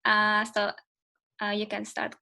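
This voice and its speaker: Woman, moderator, bright voice, flat delivery, hesitant with fillers, video call. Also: medium pitch, slow pace, vocal fry. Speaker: session moderator. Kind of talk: casual introduction.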